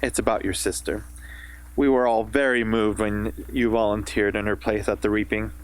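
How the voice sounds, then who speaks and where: A man's voice: on the low side, fairly even intonation, normal speed, lightly acted, rough recording. A man playing a showy, jovial master of ceremonies in a radio drama, with theatrical announcer delivery. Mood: showy, coaxing. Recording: loud crowd and background noise.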